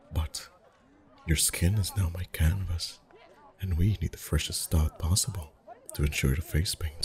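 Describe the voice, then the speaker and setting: A man playing a friendly, chatty, gently flirtatious festival face painter in a soft-spoken ASMR roleplay, with a gentle, rambling manner. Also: low voice. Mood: gentle, soothing. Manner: gentle, rambling, softly chuckling. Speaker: ASMR voice actor.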